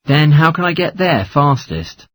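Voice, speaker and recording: A man's voice: fairly high pitch, big pitch swings, unhurried, fairly bright tone, dry recording. A man playing a polite caller asking for travel information in a scripted language-test dialogue. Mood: polite, inquiring.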